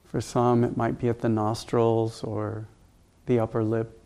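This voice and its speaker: A man leading a guided meditation as a teacher, speaking calmly and gently in unhurried, spaced instructions. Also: medium pitch.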